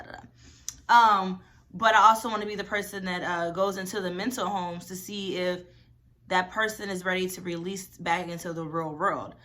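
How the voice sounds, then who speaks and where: Young woman rambling through a q&a vlog, bright voice, lots of y'all and like. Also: medium pitch. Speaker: vlogger. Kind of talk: casual Q&A vlog.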